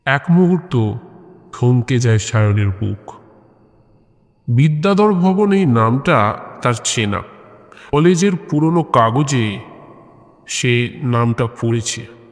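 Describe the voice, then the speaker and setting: A man narrating and hosting scripted audio horror stories in a measured way, pausing between short phrases. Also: mid-range voice, lively intonation. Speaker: host and narrator of an audio story channel. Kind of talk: scripted horror story narration and channel introduction. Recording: long reverb on the voice.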